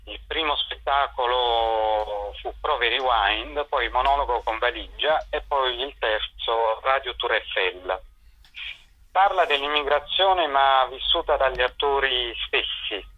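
A middle-aged man gives interview answers over a dull, lo-fi radio phone link. His voice sits at an ordinary pitch, and he explains himself haltingly, often pausing to find the word. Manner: hesitant, searching for words, explanatory. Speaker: theatre director and performer. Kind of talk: informal spoken interview answers. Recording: dull lo-fi telephone-radio sound.

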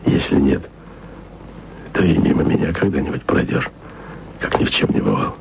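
Very deep, gravelly, lovesick man. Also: sing-song, normal speed, a little hiss or hum, full character voice. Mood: brooding, jealous. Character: lovesick, weary, jealous male radio worker. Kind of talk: intimate radio play monologue.

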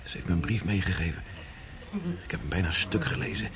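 An actor playing a lovesick, naive young sailor in a historical radio play, musing and a little hesitant. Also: male voice, sits low, quick pace, crowd noise. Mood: wistful. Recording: noisy radio play recording.

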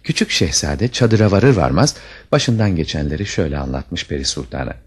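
A man's voice: a bass voice, expressive, brisk, slightly rough. A man narrating a scripted fairy tale as a storyteller, with dramatic expression.

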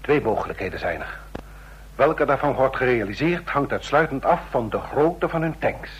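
An actor in an old radio play playing a shifty, desperate secret agent who speaks with nervous, pleading intensity. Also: male voice, medium pitch, animated, normal speed, breathy edge, dull audio. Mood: conspiratorial. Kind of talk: vintage Dutch radio play acting. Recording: noisy, humming old radio recording.

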